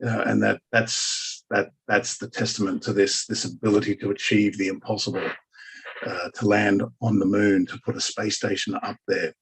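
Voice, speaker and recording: A man's voice: on the low side, little pitch movement, moderate pace, breathy edge, rough recording. A man with an Australian accent who lives in Germany, chatting as a guest and telling stories with lots of restarts. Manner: rambling storyteller, many restarts. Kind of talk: casual conversation.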